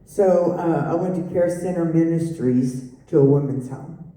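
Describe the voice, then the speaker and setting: A middle-aged woman giving a personal testimony, halting with many fillers and restarts. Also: sits low, warm tone. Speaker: testimony speaker. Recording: echoey room, voice from far off.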